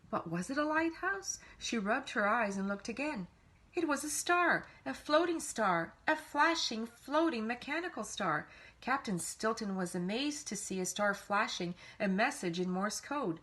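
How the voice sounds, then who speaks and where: A woman's voice: medium pitch, slightly rough. Woman reading a children's story expressively. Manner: animated, dramatic emphasis for young listeners. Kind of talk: expressive read-aloud storytelling.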